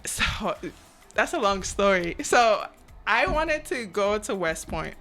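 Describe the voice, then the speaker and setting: A woman from Cameroon in her twenties, a podcast guest talking casually and reflectively, often checking in with "right?" and pausing on "um". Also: mid-range voice, big pitch swings, a bit bright, soft background music. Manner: reflective, frequent "right?" and "um" fillers. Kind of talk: casual interview chat.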